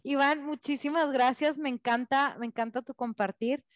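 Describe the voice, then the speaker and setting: A woman's voice: sits high, fairly even intonation, moderate pace. A woman hosting a podcast in casual chat, effusive and appreciative with her guest. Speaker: podcast host.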